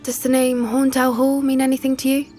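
Woman playing a high-voiced British schoolgirl witch. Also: level tone, a little fast, dark tone, faint music behind, close to an everyday voice. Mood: earnest, concerned. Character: earnest, caring teenage schoolgirl witch, animal protector. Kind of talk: video game cutscene acting.

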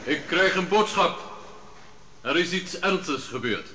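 Brief: official presiding judge, slightly high, echoey, noisy vintage recording. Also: male voice, expressive, a little slow. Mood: urgent. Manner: formal, official. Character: formal male court president.

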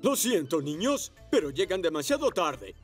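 A man voicing a fussy, officious, excitable police motorcycle in a children's cartoon. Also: on the high side, normal speed, loud music behind the voice. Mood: officious, apologetic. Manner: officious, flustered, quotes regulations. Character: fussy rule-quoting male police motorcycle, adult, excitable. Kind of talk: children's cartoon dub acting.

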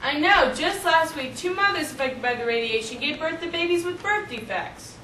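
A woman's voice: sits high, expressive, normal speed, pushed and bright, recorded from a distance. A young teenage girl playing an earnest, concerned doctor in an amateur school skit. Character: concerned doctor chatting with a colleague, woman. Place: small office meeting room indoors. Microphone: camera across the room. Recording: echoey, noisy camcorder from afar.

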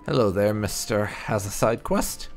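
A man doing roleplay playthrough commentary in a theatrical, drawn-out way. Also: fairly low pitch, vocal fry, music in the background. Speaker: gaming video host. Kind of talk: roleplay playthrough commentary, reading out character replies.

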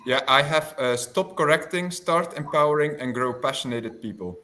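A man in his forties from Belgium speaks on an online panel. His voice sits a little high, and he answers plainly and with decision. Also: moderate pace, pushed and bright. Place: small room indoors, on a video call.

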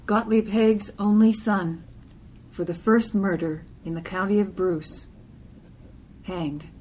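A woman reading a literary poem aloud in deliberate, clipped phrases. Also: mid-range voice, fairly even intonation, a little mellow, low-quality recording. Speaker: poetry reader.

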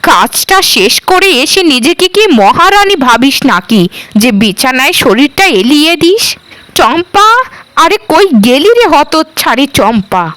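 A cruel aunt from a cartoon , acted by a woman, scolding with open scorn. Her voice sits high and swings widely in pitch as she harangues and mocks the girl under her thumb. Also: a bit bright, no room sound, exaggerated voice, low-quality recording. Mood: sharp nagging. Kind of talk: Bengali cartoon dialogue.

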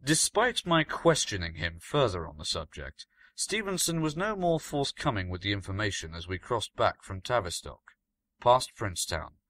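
A man narrates gothic fiction in a bright, forward voice with lively intonation. His delivery is measured and dramatic, recorded dry and close. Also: lowish voice, no echo. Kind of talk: scripted story reading, gothic first-person fiction.

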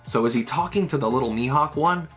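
A man in his thirties, chatting loosely through a comic chapter at a middle pitch. He fills his talk with "like" and "right?" tags. Faint music plays under his voice in a small room at home. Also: quick pace, a bit bright. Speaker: manga reviewer. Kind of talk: casual breakdown of a comic chapter.

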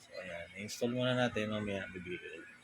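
A Filipino man giving a casual hands-on vlog demonstration in informal, halting, piecemeal remarks, as someone showing how to install a product. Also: on the low side. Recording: clearly noisy ambient background.

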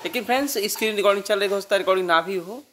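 A man's voice: sits high, racing, sharp tone, slight background noise. Indian man with a sore throat. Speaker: tutorial host. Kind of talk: casual screen-reader app tutorial.

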